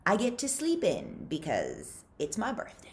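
A woman's voice: mid-range voice, huge pitch swings, unhurried. A woman voicing a cocky, insistent teenage boy in a storybook, blunt and emphatic.

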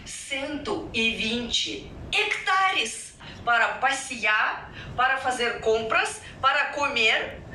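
Brief: Russian-accented woman vlogger, slow halting delivery, roomy distant sound. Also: a little high. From Russia (Siberia). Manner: animated, halting with fillers and restarts. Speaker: featured vlogger. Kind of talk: reacted-to vlog, casual talk to viewers. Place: indoor room with yellow sofa and painting. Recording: roomy, distant, faint noise.

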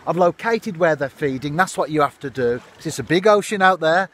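A man's voice: fairly high pitch, animated, fairly quick, a bit bright. A man in his fifties, filming himself on seaside rocks with a phone at arm's length, chatting unhurriedly to his viewers about his day. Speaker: fishing vlogger. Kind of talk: casual outdoor narration to camera. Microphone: phone camera at arm's length.

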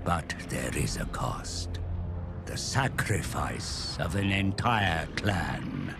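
Gravelly, ominous fantasy narrator. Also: male voice, deep voice, animated, heavily acted. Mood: solemn, foreboding. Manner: solemn, portentous, measured. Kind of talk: dramatic scripted game cutscene narration. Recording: loud music behind the voice.

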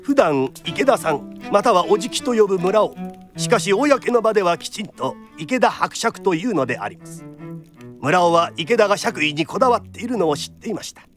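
A man's voice: sits high, expressive, a little fast, soft background music. A man narrating a radio drama as a theatrical storyteller with polite phrasing.

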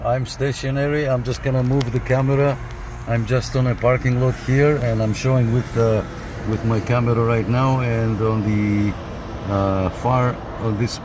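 Guy talking cb radio from his parked car, says stuff twice, bit of noise behind him. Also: mid-range voice. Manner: repeats radio jargon and phrases. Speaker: CB radio operator. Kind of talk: informal radio contact chat. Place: inside a parked car in a parking lot. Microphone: camera beside the dashboard radio.

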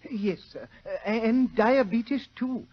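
A man's voice: very high-pitched, slow. An actor in an old radio drama playing a fussy, nervous, meek man who stammers apologetically through his grief. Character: fussy, nervous, bereaved brother, meek and rambling. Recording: muffled old radio recording.

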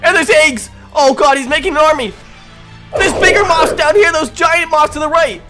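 A young man doing excited, improvised roleplay commentary over a video game, urgently shouting commands to teammates. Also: extremely high pitch, moderate pace. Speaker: gaming video host playing a roleplay game. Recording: loud music behind the voice. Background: loud music.

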